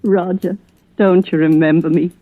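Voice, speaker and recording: A woman's voice: on the low side, steady tone, normal speed, no room sound, lightly acted. Woman playing tender, anxious long-lost wife, tinny radio. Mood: pleading, tearful. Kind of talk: 1940s radio melodrama acting. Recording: muffled, narrow old radio recording.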